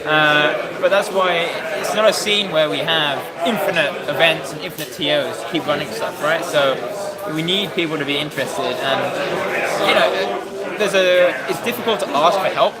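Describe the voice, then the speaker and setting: A talkative British man with a slightly husky, fairly high voice carries on loudly in casual chat. A heavy crowd murmurs all around in the big hall. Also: normal speed. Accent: British English. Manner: talkative, loud voice, many fillers. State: a bit husky. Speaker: interviewer, event organiser. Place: busy tournament hall. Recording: crowd noise in a large hall.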